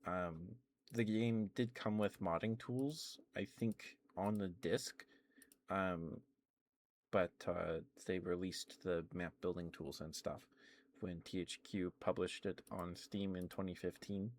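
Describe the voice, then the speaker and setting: A young man with a very deep, low voice talks quietly for an ASMR stream. He meanders through his thoughts with frequent ums, restarts and small chuckles. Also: fairly even intonation, slow. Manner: meandering, many fillers and restarts, chuckles often. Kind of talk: soft-spoken ASMR rambling.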